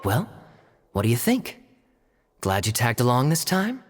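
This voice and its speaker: A man's voice: medium pitch, huge pitch swings, unhurried, background noise. An easygoing townsman, echoing. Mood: friendly.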